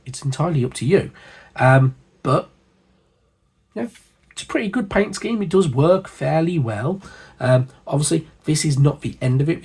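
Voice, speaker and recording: A man hosting a hobby channel, hoarse and husky from a cold, chatting casually with lots of fillers and asides. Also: mid-range voice, a little mellow. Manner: chatty, rambling, with frequent fillers. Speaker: miniature painting channel host. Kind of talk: casual hobby chat to camera.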